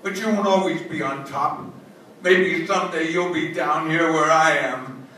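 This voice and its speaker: Man acting a sharp-voiced, desperate condemned man. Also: fairly high pitch, unhurried. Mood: bitter, threatening. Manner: stammering, impassioned. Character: bitter, desperate male condemned murderer. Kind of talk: old-time radio drama acting. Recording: very echoey, distant stage recording, faint noise.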